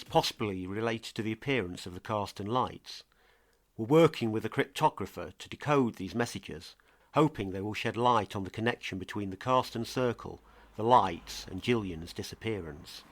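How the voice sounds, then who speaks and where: A man playing a grave investigative podcast presenter, narrating a scripted mystery in a measured, dramatic way. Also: lowish voice.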